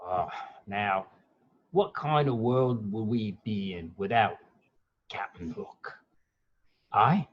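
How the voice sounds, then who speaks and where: A man's voice: lowish voice, slow pace, pauses often, slightly rough, dull audio. A man acting a fawning, soothing pirate sidekick who coaxes and placates in a theatrical script table read. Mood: pleading, soothing. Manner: coaxing, placating.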